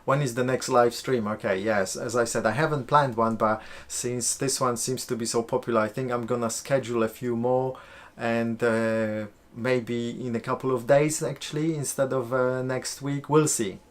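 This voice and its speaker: A man's voice: medium pitch, fairly even intonation, moderate pace, few pauses. A man from Poland with a Polish accent, answering viewer questions on a live stream in a loose, chatty way full of fillers and restarts. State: sweating, warm room. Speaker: host.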